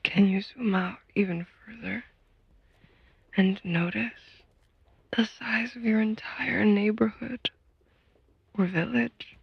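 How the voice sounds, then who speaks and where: Breathy, whispering woman guiding meditation. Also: mid-range voice, steady tone, no echo, dull audio. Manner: gentle, soothing, unhurried with long pauses. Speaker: guided meditation host. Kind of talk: soft whispered guided meditation.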